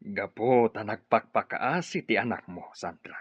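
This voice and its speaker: Casting: a righteous war chief, husky with animated swings in pitch, emphatic, for radio drama. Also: male voice, a little slow, no echo, dull audio. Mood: solemn relief. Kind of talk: Ilocano radio drama acting.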